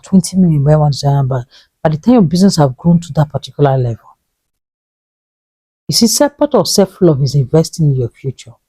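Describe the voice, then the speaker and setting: A woman in her thirties with a Nigerian English accent, earnestly pleading with viewers and repeating her points, dropping to a low hush for emphasis. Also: husky, no room sound, poor audio. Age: 30s. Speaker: vlogger giving money advice. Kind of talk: earnest motivational talk to camera.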